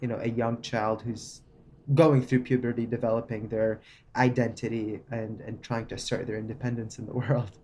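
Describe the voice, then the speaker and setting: A man's voice: medium pitch, little pitch movement, moderate pace, slight background noise. A man from Lebanon, a guest founder of a nonprofit, speaking thoughtfully in a casual podcast conversation. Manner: thoughtful, with frequent restarts and soft laughs.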